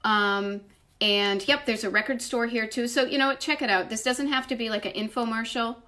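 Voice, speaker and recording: A woman's voice: a little high, fairly even intonation, moderate pace, bright, forceful tone. A woman with a slight Boston accent chatting casually as a livestream host, rambling effusively and interrupting herself with emphatic asides. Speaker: livestream host, retro travel writer. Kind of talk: casual chat answering live viewer comments. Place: at home, indoors.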